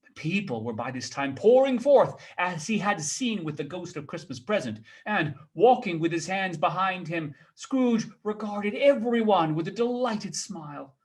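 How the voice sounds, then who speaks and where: A man reading a story aloud as a theatrical storyteller, dramatic and expressive. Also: sits high, moderate pace.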